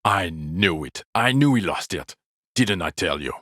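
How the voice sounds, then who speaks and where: An actor playing an exasperated, foul-mouthed police partner in a video game, world-weary, sarcastic and contemptuous in a gravelly voice. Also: male voice, animated, a bit bright, dry recording, clean studio sound, heavily acted. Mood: angry, accusing. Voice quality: gravelly, low. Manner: sardonic, weary, contemptuous, deliberate scolding. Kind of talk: video game character voice acting.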